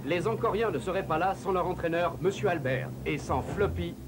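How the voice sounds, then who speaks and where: A man's voice: high-pitched, moderate pace, background noise, close to an everyday voice. A man playing a dramatic cartoon announcer who narrates introductions of heroes and villains. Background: music.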